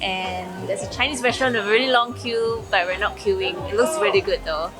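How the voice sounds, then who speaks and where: A young woman with a Singaporean accent, chatting casually as a travel vlogger while tasting street food, eager and quick to laugh. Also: mid-range voice. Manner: chatty, enthusiastic tasting remarks with frequent laughs. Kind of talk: casual food vlog commentary. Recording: music bed over the voice.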